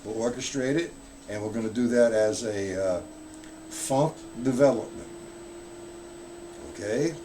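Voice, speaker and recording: A man's voice: medium pitch, measured pace, long pauses, warm tone, slight background noise. A man giving a casual live-streamed drum lesson, explaining rudiments in a loose, chatty way and answering viewers in the chat. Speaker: drum teacher.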